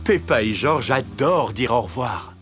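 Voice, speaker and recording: An actor as a cheerful narrator of a preschool cartoon, giving clear scripted explanations. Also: medium pitch, big pitch swings, moderate pace, music in the background, close to an everyday voice. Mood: cheerful, explanatory.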